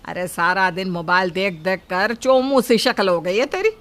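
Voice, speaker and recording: A woman voicing a scolding, sarcastic mother of a grown son in an animated family story. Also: mid-range voice, normal speed, lightly acted. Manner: nagging, sharp-tongued.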